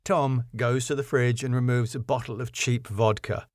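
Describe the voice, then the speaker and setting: A man's voice: mid-range voice, fairly even intonation, moderate pace, dry recording, clean studio sound. A middle-aged man with a British accent, narrating and chatting as a jaunty, self-deprecating podcast host. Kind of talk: scripted story narration and chatty podcast outro.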